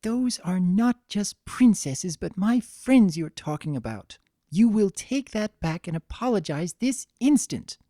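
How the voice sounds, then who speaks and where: Reader putting on a gentle, high-pitched old mare. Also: big pitch swings, measured pace, dry recording, studio quality. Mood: frosty, firm. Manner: gentle, earnest, firm when crossed. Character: gentle but firm aging female pegasus pony. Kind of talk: fan fiction dramatic reading.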